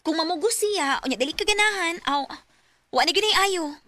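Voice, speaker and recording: A woman's voice: extremely high pitch, lively intonation, fairly quick, bright, forceful tone, full character voice. A woman playing a nosy, blunt female friend in a radio drama, with a Cebuano Visayan Filipino accent. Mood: blunt, warning.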